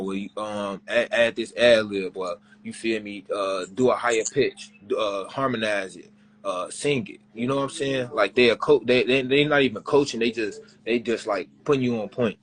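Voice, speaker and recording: A young man with a deep voice, a rapper being interviewed. He rambles in loose slang and circles back on his own words. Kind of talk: casual interview chat.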